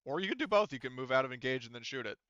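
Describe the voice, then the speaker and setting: Bright, clear-voiced man as game master. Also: medium pitch, lively intonation, very fast, no echo, dull audio. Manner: informal, swearing, explanatory. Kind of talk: narrating and refereeing a tabletop roleplaying game, casual.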